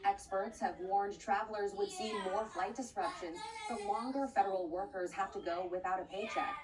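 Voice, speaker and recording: A woman with a medium-pitched voice reads scripted news as an anchor. She sounds slightly away from the mic, with faint noise underneath. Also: normal speed, recorded from a distance.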